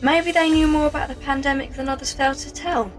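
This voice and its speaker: High-pitched feisty Victorian woman played by an actress. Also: fairly even intonation, fast talker, faint music behind, close to an everyday voice. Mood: wry. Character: feisty, wry working woman in zombie-plague Victorian London. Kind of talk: dramatic audio drama acting.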